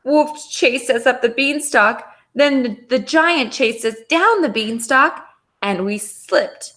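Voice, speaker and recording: A woman's voice: on the high side, fairly bright tone. A woman voicing a frightened, tearful runaway spoon in a children's storybook.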